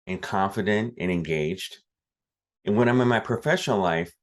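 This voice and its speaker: A man giving a personal testimonial about himself. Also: low voice.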